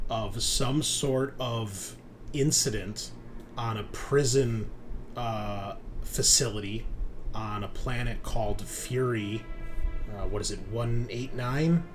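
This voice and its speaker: A man running a tabletop roleplaying game as game master, improvising his narration and thinking aloud in a halting way. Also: mid-range voice, darkish tone. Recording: clear background noise.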